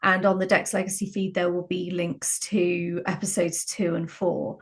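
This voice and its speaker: A woman speaking as a podcast guest, chatting casually in an excitable, emphatic way. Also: lowish voice, moderate pace, breathy edge. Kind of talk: casual animated chat.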